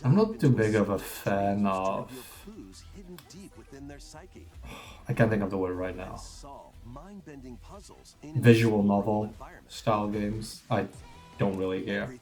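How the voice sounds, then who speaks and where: Man, sick and sniffly, rambling offhand reactions, mellow dark tone. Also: lowish voice, animated, slow, long pauses. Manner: rambling, offhand, sometimes wry. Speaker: gaming reaction streamer. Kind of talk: casual live reaction chat. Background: faint trailer music.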